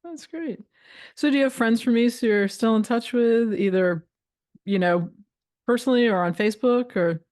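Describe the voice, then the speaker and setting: A woman's voice: on the high side, normal speed, darkish tone. A woman hosting a podcast, asking questions in a casual interview style. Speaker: podcast host. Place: podcast studio.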